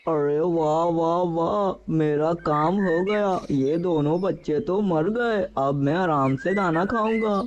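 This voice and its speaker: A man's voice: on the high side, slow pace, hardly any pauses, highs cut off. A man voicing a lazy, greedy, scheming male crow in a children's cartoon, exclaiming and repeating words as he talks to himself. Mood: gleeful scheming. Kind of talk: children's cartoon story dialogue.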